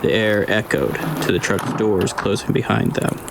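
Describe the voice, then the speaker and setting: A man reading scripted dramatic audiobook narration in a measured, deliberate way. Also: on the low side, vocal fry, very noisy. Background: ambient scene sound effects.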